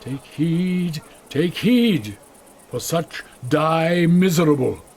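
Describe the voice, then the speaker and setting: Fatherly, stern elderly friar, precise classical delivery, slightly high voice, radio drama. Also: slow, darkish tone. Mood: scolding. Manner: declamatory, precisely phrased.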